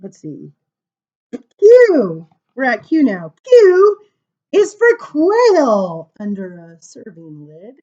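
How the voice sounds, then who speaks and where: A woman reading children's poems aloud at story time, informal, with dramatic emphasis and drawn-out words. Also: high voice, huge pitch swings, dark tone, muffled recording. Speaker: library story time reader.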